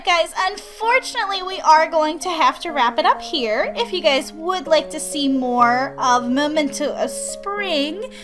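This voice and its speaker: High-voiced woman hosting a let's play. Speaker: gaming channel host. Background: game music.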